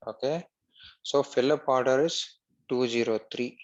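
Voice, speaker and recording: A man narrating a screen-share software tutorial step by step as an instructor. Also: medium pitch, measured pace, highs cut off.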